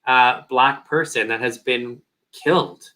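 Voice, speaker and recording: A man co-hosting a casual review chat, earnest and halting, losing his voice. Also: medium pitch, bright, forceful tone. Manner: earnest, halting, many "um" and "uh" restarts. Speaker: podcast co-host.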